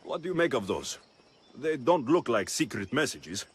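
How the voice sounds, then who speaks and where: A man's voice: mid-range voice, sing-song, normal speed, husky. An actor playing a pragmatic young male mercenary with a terse, dry delivery in a video game. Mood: curious. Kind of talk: video game cutscene acting.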